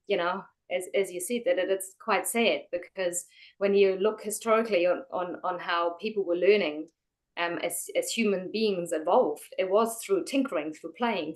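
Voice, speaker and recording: A woman's voice: lowish voice, moderate pace. Woman, chatty guest. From Germany. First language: German. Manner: chatty, frequent fillers and restarts. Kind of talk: casual interview.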